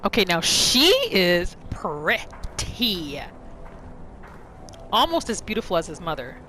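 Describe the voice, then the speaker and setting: A woman's voice: fairly low pitch, big pitch swings, takes it slowly, sharp tone, a little hiss or hum. A woman doing roleplay gameplay commentary, narrating her character's thoughts and reacting to the game. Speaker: gaming video creator, roleplayer. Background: faint music.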